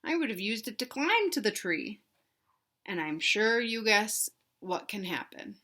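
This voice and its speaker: A woman reading a children's storybook aloud in an animated, emphatic way, pausing to put questions to young listeners. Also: mid-range voice. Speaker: read-aloud host for children.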